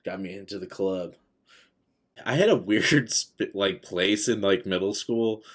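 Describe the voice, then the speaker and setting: A man's voice: low-pitched, a little slow. A man of thirty talking in casual, slangy conversation as a podcast co-host.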